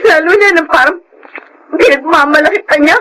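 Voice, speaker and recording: A woman's voice: high voice, a little fast, bright, forceful tone, a little hiss or hum, low-quality recording. A woman playing a hurt, outspoken young adult daughter, earnest and emotional in a Filipino radio drama. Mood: bitter, hurt.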